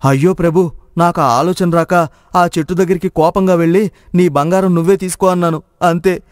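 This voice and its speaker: A man playing a naive, excitable village cowherd in a moral story, exclaiming and questioning. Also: sits high, brisk, no room sound, studio quality, big-room sound, lightly acted. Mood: respectful, bewildered. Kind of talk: Telugu moral story voice acting.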